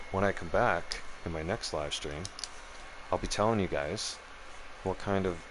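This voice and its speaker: Deep-voiced, foul-mouthed man ranting. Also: background noise. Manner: profane, heated, keeps checking in with "right?" and "okay?". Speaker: live streamer. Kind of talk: angry political rant on a live stream.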